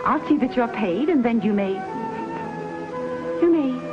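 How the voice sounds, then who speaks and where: A woman's voice: a little high, animated, a little slow. An American actress playing a fretful, proper middle-aged mother in a 1950s TV western, prim and easily flustered. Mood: prim, uneasy. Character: fretful, proper mother newly settled out West. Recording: dull old TV soundtrack, music behind.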